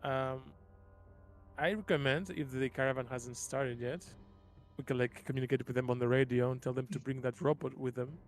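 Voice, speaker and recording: Guy at a tabletop game chatting plans, average pitch, light music behind. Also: fairly even intonation, normal speed. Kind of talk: casual planning chat.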